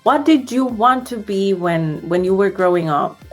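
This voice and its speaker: A woman hosts the interview at an unhurried pace, friendly and chatty with fillers. Her voice sits at a middle pitch, and faint music plays underneath. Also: expressive. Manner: friendly, laughs between questions, uses fillers. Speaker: interview host. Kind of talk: casual interview hosting.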